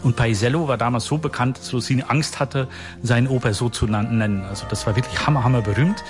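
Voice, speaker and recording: A man's voice: medium pitch, fast, music in the background. A man with a Belgian accent answering interview questions enthusiastically, with slips and fillers. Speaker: festival artistic director.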